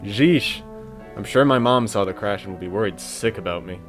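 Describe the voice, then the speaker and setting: A man's voice: fairly low pitch, normal speed, soft background music, lightly acted. A young man playing a mild, earnest, slightly hesitant friend in a scripted romantic comedy audio drama. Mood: startled. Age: young adult.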